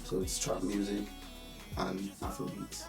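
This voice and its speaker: A young man from Nigeria, a musician, talking about his music in a relaxed, halting way with many pauses, over a music bed in a roomy space. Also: fairly low pitch, vocal fry. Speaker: musician interviewee. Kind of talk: casual interview talk. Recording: roomy sound with music behind.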